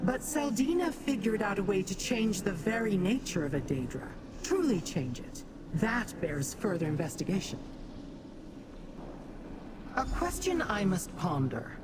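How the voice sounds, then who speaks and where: An actress playing a haughty, calculating Daedra who speaks down to mortals. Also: female voice, medium pitch, very animated, unhurried. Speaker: game character, scheming ally. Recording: game audio with background noise.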